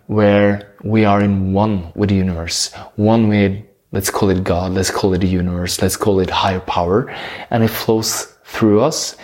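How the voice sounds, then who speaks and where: Norwegian man, slow searching spiritual talk. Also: lowish voice, darkish tone. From Norway. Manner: slow, searching, with many pauses and self-corrections. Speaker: spiritual speaker.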